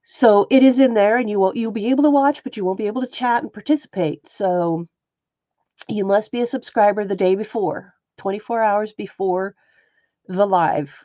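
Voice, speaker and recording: A woman's voice: mid-range voice, normal speed, warm tone, no room sound, dull audio. A woman with a stuffy, sniffly nose hosting a casual crafting livestream and chatting with viewers by name. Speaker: livestream host and textile artist. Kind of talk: casual livestream chat while showing stitching.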